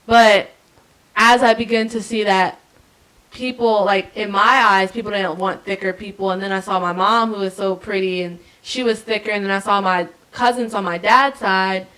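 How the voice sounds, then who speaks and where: Young woman podcast cohost, bright voice, animated storytelling. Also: medium pitch, normal speed, low-quality recording. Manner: animated, laughs while telling stories. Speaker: podcast co-host. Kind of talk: casual chat.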